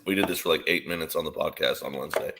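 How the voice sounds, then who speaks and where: A man's voice: very deep voice, rapid-fire, background noise. A young man co-hosting a casual sports watchalong podcast, joking and swearing as he chats. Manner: sweary, joking, mock British slang. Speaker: podcast co-host.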